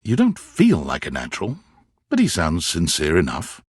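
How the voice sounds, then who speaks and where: A man narrating a romantic comedy video game with measured, dramatic storytelling and deliberate pauses. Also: deep voice, wildly swooping pitch, slightly rough, no echo, studio quality, full character voice. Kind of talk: scripted second-person narration of a dating game.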